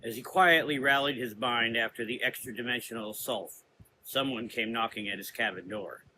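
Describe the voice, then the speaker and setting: A man delivers scripted gothic horror narration in a bright, forceful voice. Clear background ambience and noise sit behind his storytelling. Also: medium pitch, moderate pace, rough recording.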